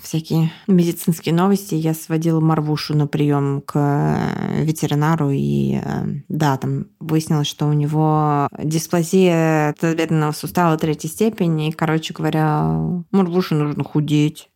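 A woman's voice: deep voice, level tone, normal speed, few pauses, warm tone. A woman in her thirties co-hosting a podcast in casual chat, rambling with many fillers and restarts. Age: 30s. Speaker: podcast co-host.

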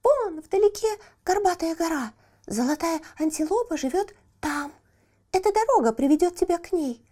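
A teeny chirpy mama bird, super high pitch, sweet helpful fairy tale critter. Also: female voice, lively intonation, moderate pace, dark tone. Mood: helpful, cheerful. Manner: chirping, exclaiming. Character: tiny grateful female bird, chirpy and helpful.

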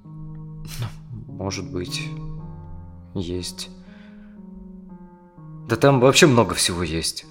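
An actor playing a tense, dissatisfied male therapy client in his thirties, speaking haltingly in a naturalistic audio drama. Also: medium pitch, fairly bright tone. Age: 30s. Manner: hesitant, halting, sighing speech.

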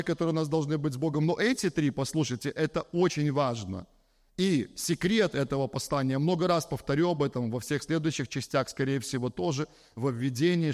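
Male pastor, fairly high voice, emphatic sermon delivery, repeats key phrases, long hall reverb. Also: darkish tone. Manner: emphatic, repeats phrases for stress, addresses the congregation directly.

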